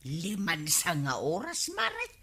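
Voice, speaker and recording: A woman's voice: on the low side, huge pitch swings, unhurried, sharp tone, slightly rough, exaggerated voice. A woman with an Ilocano accent playing a complaining, scheming housewife in a radio comedy drama, pleading and emphatic. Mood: chatty, weary. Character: complaining, scheming housewife who hand-washes laundry, cajoling husband.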